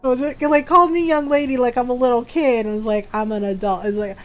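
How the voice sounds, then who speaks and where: A woman in her forties talks casually to her stream viewers and laughs often while telling stories. Her voice sits a little high. Faint game music plays underneath. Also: steady tone, fast. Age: 40s.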